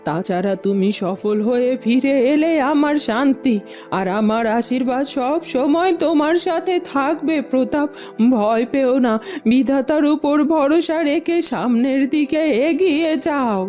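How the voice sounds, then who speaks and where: A caring old grandmother from a cartoon, with a fairly high voice. She speaks solemnly and tenderly, with dramatic feeling over soft music. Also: a little slow. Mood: solemn blessing.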